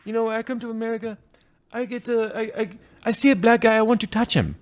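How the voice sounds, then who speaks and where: Man putting on a high-pitched, emphatic Romanian guy. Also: steady tone. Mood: blunt, earnest. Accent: put-on Romanian. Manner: halting, emphatic, repeats himself. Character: boozy, blunt, generous Romanian tech reviewer man. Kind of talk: impression in a comic anecdote.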